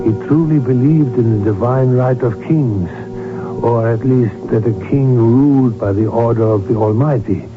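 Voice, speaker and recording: A mid-pitched male voice acted by a man as a loyal court doctor. He is measured, formal and a little wry, in old radio drama style. The sound is narrow and muffled, with clear music underneath. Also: close to an everyday voice. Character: loyal, measured court physician recalling his royal patient. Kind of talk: old-time radio drama acting and narration.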